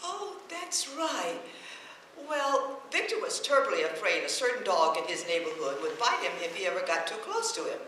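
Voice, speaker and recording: A woman's voice: medium pitch, big pitch swings, normal speed, pushed and bright. An actress playing a scatterbrained, kindly older landlady in a vintage radio comedy, chatty and dithering as she rambles off track. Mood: earnest storytelling. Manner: dithering, rambling, easily sidetracked. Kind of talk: vintage radio comedy acting before a laughing audience. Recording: echoey old radio stage recording, faint noise.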